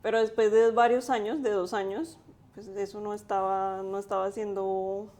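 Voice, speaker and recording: Woman in her twenties, mellow tone, reflective interview guest. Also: mid-range voice, level tone, moderate pace. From Colombia. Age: 20s. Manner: thoughtful, with many fillers. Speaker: guest, graphic designer.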